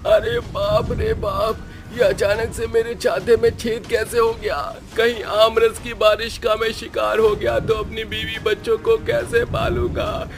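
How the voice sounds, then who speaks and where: Cartoon villager, played by male narrator: high strained-up pitch, dramatic, exclaiming, excitable, music underneath. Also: measured pace, vocal fry, hardly any pauses. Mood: alarmed. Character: excitable, alarmed village man. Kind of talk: Hindi cartoon story acting.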